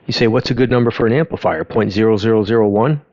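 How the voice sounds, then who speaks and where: Low-voiced man explaining, dull dry recording. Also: a little mellow, no echo. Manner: halting, conversational, self-interrupting. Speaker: host of an audio education channel. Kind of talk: informal explainer talk over on-screen graphs.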